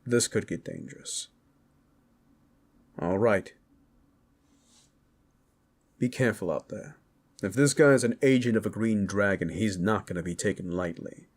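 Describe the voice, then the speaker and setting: An easygoing adventurer from a fantasy audio drama, voiced by a man. He talks in a casual, wry way with a husky, low-set voice, like a practical companion. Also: a little slow, pauses often.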